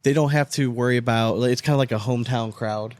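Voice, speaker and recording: A man's voice: mid-range voice, steady tone, fast, a little mellow, no room sound. Blunt, profane man, casual podcast host. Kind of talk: casual sports banter.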